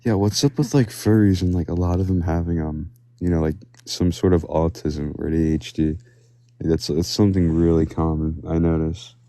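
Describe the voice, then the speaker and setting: A man's voice: low voice, steady tone, moderate pace, dark tone, no echo. A man being interviewed on the street, rambling and teasing with a prank. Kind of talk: casual chat.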